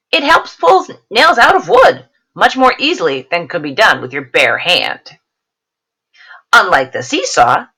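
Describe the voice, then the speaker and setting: A woman's voice: medium pitch, sharp tone, husky. A woman teacher reading a science lesson aloud, explaining it to students and checking in with questions.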